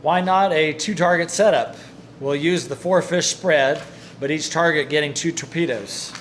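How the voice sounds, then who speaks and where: An actor playing an eager submarine second-in-command in a war drama, crisp and military. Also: male voice, a little high, moderate pace, fairly bright tone, a little hiss or hum, close to an everyday voice. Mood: keen. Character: eager male submarine executive officer in wartime. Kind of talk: radio-play style war drama acting.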